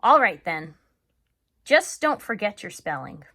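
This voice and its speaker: A woman reading a storybook aloud, voicing a worried, gentle working father who speaks earnestly. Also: mid-range voice, sing-song, measured pace, heavily acted. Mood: fond.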